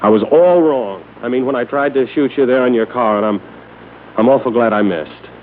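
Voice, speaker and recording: A man's voice: medium pitch, brisk, lightly acted. An actor playing a shifty, nervous male crook who stammers and pleads in slangy speech in an old radio crime drama. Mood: pleading. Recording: muffled, slightly noisy old radio recording.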